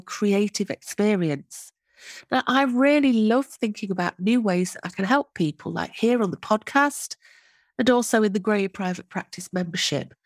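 A woman's voice: on the low side, no room sound, studio quality. A middle-aged woman hosting her own podcast, talking in an encouraging, chatty way, a little sniffly from a cold. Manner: chatty, warm, encouraging, self-interrupting. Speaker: podcast host. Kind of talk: casual solo podcast.

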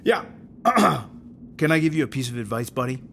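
A man playing a middle-aged, folksy prison warden who talks haltingly, clearing his throat in an audio drama interview. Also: mid-range voice, very animated, fairly bright tone, slight background noise. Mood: awkward, cautioning. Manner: hesitant, halting, full of ums and throat-clearing. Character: aging, folksy, awkward male prison warden.

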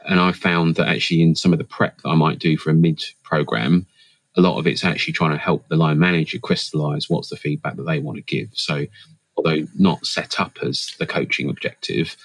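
Man, very low voice, dry close sound; considered guest answers, full of restarts. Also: creaky, dry recording. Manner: thoughtful, measured, with frequent fillers and restarts. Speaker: interview guest, HR leader and coach. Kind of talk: reflective interview answers.

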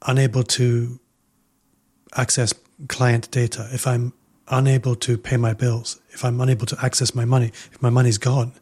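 Measured man hosting a podcast. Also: medium pitch, level tone, darkish tone, breathy edge. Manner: reflective, measured, builds points with repetition. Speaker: podcast host. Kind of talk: casual podcast interview.

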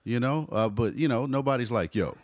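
A man in his forties, a hip hop artist telling stories in a relaxed interview, dropping "you know" often. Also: medium pitch, brisk, no room sound, clean studio sound. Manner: laid-back storyteller, frequent "you know". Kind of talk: casual conversational interview.

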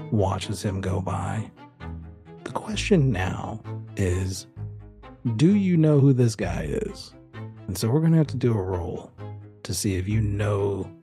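A mellow-voiced man runs a tabletop roleplaying game as game master. He narrates slowly and descriptively, pausing as he improvises the scene. Music plays behind him. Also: on the low side, vocal fry. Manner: unhurried, descriptive, with pauses.

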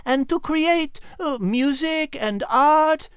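A woman's voice: sits high, dry recording, studio quality. A woman voicing a perky, bossy female cat with a French accent in a children's audio drama. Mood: chirpy. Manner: brisk, commanding, peppers talk with French words. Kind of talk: scripted character acting for children's podcast.

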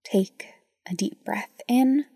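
Soft, mellow woman, close and dry. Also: on the high side, takes it slowly, vocal fry, no room sound. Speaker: podcast host. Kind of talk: intimate guided reflection to listeners.

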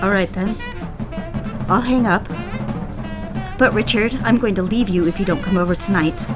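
Actress as a desperate, needy mistress, dramatic confessional delivery. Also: female voice, medium pitch, normal speed, music in the background, lightly acted. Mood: defiant. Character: desperate, obsessive mistress pleading for her lover's attention. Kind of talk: audio drama, confessional narration and dialogue.

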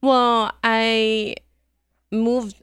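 A middle-aged woman from Iran speaking as a guest on an interview show, hesitant with many pauses and fillers and laughing easily. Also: on the high side, flat delivery, a bit bright, clean tone, no echo, clean studio sound. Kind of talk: conversational interview.

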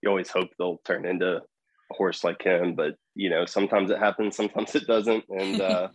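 Very deep, flat-voiced man as a guest. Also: moderate pace, no room sound, rough recording. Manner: relaxed, hesitant, many fillers and restarts. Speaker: podcast guest. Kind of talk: casual interview answers.

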